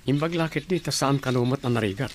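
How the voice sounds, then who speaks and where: A man playing a young husband working abroad in a radio drama, talking in a lively, teasing way. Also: mid-range voice, fast, a little hiss or hum, lightly acted. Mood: friendly. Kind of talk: amateur radio drama acting.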